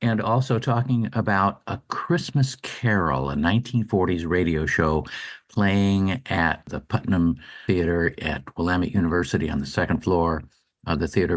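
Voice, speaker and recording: A man with a fairly low voice speaks as a radio interview host. He has an upbeat, promotional announcer's delivery. The sound is very dry, with no room around him. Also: no echo.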